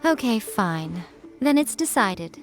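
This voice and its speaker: A woman's voice: medium pitch, very animated, takes it slowly. A woman voicing a self-assured, teasing fox-spirit shrine priestess in a video game, coy and lofty. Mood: breezy. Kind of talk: English video game character acting. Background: music.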